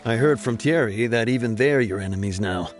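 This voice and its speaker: An actor voicing a brisk, businesslike male officer in a game. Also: medium pitch, over music, lightly acted.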